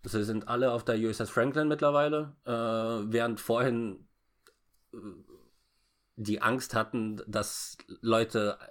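A man talking casually as he recaps a story in a halting, stammering way with many false starts. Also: mid-range voice, steady tone. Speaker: podcast co-host recapping a film. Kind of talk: casual unscripted plot recap.

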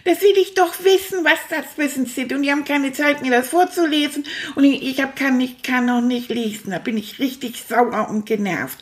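A woman voicing a boastful, childlike male toy rat who chatters excitably and impatiently to young listeners on a children's audio show. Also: high voice, normal speed, few pauses, natural voice. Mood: annoyed.

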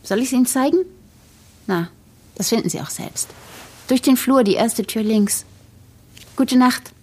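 A woman's voice: fairly high pitch, a little slow, darkish tone, slightly rough. An actress playing a pragmatic, tired young mother in a naturalistic audio drama, matter-of-fact and questioning with her friends. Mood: probing, patient.

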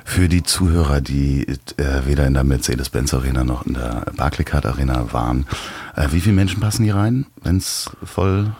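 A man's voice: a bass voice, normal speed, a little mellow, slightly rough, dry recording. A man hosting a podcast, asking questions in a friendly way and laughing often. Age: younger than guest. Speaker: podcast host. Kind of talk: podcast interview.

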